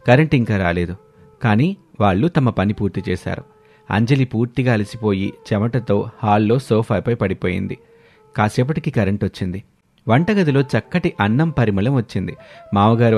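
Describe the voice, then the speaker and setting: A man giving scripted narration of a family story in a steady storytelling manner. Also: medium pitch.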